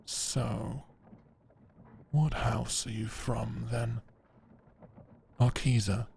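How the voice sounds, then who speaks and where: A man playing a stern, shrewd knight in a medieval audio drama, calm, measured and knowing. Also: medium pitch, vocal fry, slight background noise, exaggerated voice. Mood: shrewd, appraising. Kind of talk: dramatic audio roleplay acting.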